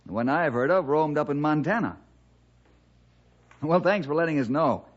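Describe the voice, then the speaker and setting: Actor as an earnest radio-drama ranger, dull recording. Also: male voice, a little high, close to an everyday voice. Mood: businesslike. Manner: earnest, measured, moralizing. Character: Bill, earnest, upright, fatherly forest ranger. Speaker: ranger and lead character. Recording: dull, band-limited old radio recording.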